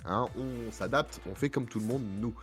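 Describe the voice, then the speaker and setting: A man with a head cold and a hoarse voice, hosting a casual podcast chat with many fillers and self-mocking asides. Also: mid-range voice, normal speed, soft background music. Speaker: podcast host.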